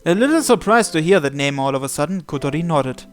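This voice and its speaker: High-voiced man reading a story aloud. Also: lively intonation, quick pace. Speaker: gamer reading a visual novel aloud. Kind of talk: read-aloud story narration. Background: game music.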